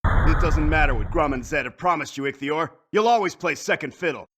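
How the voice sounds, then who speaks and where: A man playing a brisk, confident ranger team leader in a video game. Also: a little high, animated, a bit bright, slightly rough, soft background music. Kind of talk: video game hero acting.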